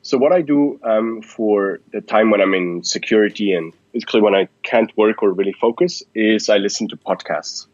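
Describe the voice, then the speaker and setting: A man from Switzerland, answering interview questions in a relaxed, thoughtful way with frequent restarts. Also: fairly low pitch, moderate pace, hardly any pauses, no room sound, lo-fi sound. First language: Swiss German. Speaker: guest. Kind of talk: podcast interview.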